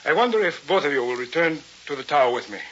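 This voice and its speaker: A man's voice: a little high, big pitch swings, fast, a bit bright. A man acting as an arrogant, coldly rational scientist and domineering husband in a vintage radio drama, with a pompous, lecturing manner. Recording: muffled, hissy vintage radio recording.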